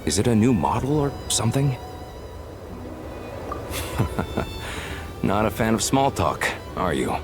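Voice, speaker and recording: A man's voice: lowish voice, sing-song, slow pace, pauses often, over music, heavily acted. An actor playing a courteous, chatty male railway official in a video game. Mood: friendly, advisory.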